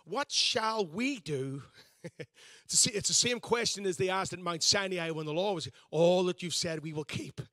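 Bright, high-voiced pastor preaching. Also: male voice, moderate pace, no echo, studio quality. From Northern Ireland. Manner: conversational, self-deprecating preaching with frequent restarts. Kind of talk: sermon.

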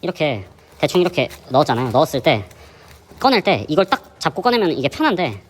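A man with a hoarse voice from a sore throat, hosting a fishing channel and chatting through his gear in a casual, explanatory way. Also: a little high, sing-song, fast talker. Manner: chatty, explanatory, many fillers. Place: indoor gym.

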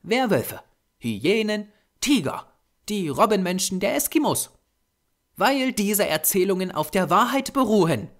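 Man playing small grey-haired Japanese doctor, audiobook occultist, high pitch, formal, patient, insistent lecturing. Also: big pitch swings, a little slow, a bit bright, heavily acted. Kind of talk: audiobook character voice.

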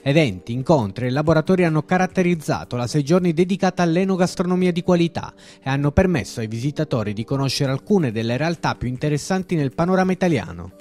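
A man's voice: a little high, animated, quick pace, hardly any pauses, darkish tone, soft background music. A man reading a scripted news-style voice-over as narrator.

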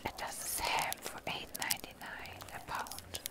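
A person with a German accent doing a breathy whispered ASMR show and tell, halting and rambling as they go. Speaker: ASMR creator.

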